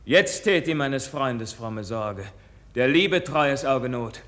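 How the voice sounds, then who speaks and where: A man's voice: medium pitch, expressive, a bit bright. An actor playing a passionate, anguished young nobleman officer in a 1950s German radio verse drama, declaiming fervently. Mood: bitter, grieving. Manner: declamatory, fervent, drawn-out delivery. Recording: 1950s radio production with music.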